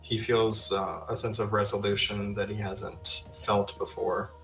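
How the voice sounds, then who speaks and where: A man's voice: on the low side, level tone, measured pace, a bit bright. A man talking casually as a player in a tabletop role-playing game. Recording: roomy echo, music under the voice.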